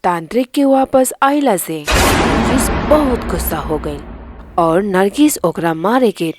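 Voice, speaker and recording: A woman's voice: mid-range voice, lively intonation, takes it slowly, heavy background noise. A woman reading a scripted fairy tale as narrator, in a dramatic storytelling style.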